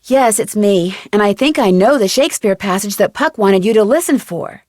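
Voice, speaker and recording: A woman's voice: medium pitch, lively intonation, normal speed, a little mellow, dry recording, clean studio sound, natural voice. A woman playing a polite, inquisitive teenage girl detective in an adventure game, speaking earnestly. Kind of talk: scripted adventure game dialogue.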